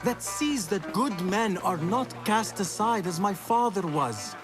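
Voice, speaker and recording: An ambitious young street thief in a historical game drama. His voice sits fairly high and moves expressively. He speaks in formal, earnest phrases with idealistic drive, over clearly audible music. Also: expressive, measured pace. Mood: earnest, determined. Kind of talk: dramatic video game voice acting.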